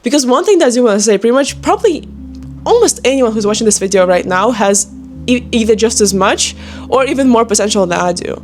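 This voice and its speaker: A woman's voice: a little high, lively intonation, quick pace, darkish tone, faint music behind. A young woman with a Ukrainian accent chatting casually and motivationally to viewers, in an informal, emphatic, encouraging manner. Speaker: online video creator and sales closer. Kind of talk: casual motivational chat to camera.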